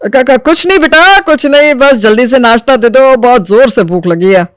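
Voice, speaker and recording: A man's voice: extremely high pitch, animated, normal speed, a bit bright, smooth. A man acts a henpecked older father-in-law in a cartoon moral tale. He is timid and placating, with a mildly teasing way of talking. The sound is dry and free of room echo. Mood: flustered. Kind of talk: Hindi animated moral story acting.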